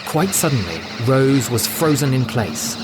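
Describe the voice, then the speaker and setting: A man's voice: mid-range voice, sing-song, a little slow. A man reading scripted fiction as a dramatic audiobook narrator. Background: music.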